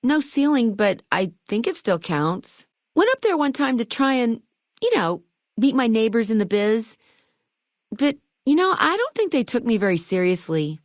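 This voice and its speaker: A woman's voice: fairly high pitch, sing-song, normal speed, no room sound, studio quality. A woman playing a perky, eager and quirky hotel keeper in a video game, chatty and full of chirpy salesmanship and playful old-fashioned slang. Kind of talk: video game dialogue lines.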